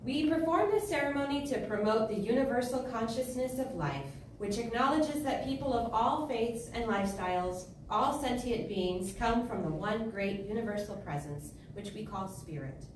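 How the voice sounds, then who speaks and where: A woman's voice: a little high, lively intonation, normal speed, hardly any pauses, darkish tone. A woman leading a spiritual service, addressing a congregation as she introduces a ceremony. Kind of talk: informal greeting and ceremonial address. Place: large indoor spiritual center room. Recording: echoey hall from far off, noisy.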